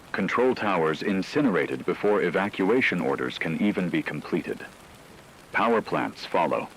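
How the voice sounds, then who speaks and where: A man playing a grave radio news anchor, delivering formal, deliberate scripted disaster reports over rain-like noise. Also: deep voice, fairly bright tone, exaggerated voice, poor audio. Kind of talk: scripted dramatic news narration.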